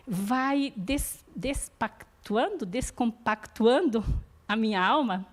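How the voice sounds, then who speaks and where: Higher-voiced woman teaching warmly. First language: not Portuguese. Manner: earnest, encouraging, often adds "né?". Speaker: support group teacher. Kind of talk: church teaching talk.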